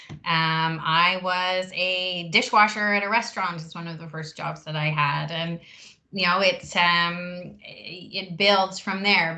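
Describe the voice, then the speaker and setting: A woman recruiter answering questions in an online workshop, measured and reassuring, pausing often to find her words. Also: fairly low pitch, sharp tone. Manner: measured, reassuring, with frequent pauses. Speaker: recruiter leading a career workshop.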